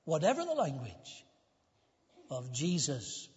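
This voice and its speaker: An older man giving a storytelling children's sermon in church, emphatic and sometimes dropping to a hushed voice. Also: on the high side, wildly swooping pitch, slow, husky. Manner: storytelling, emphatic, drops to a hushed voice at key points. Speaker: preacher. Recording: dull recording in a reverberant hall.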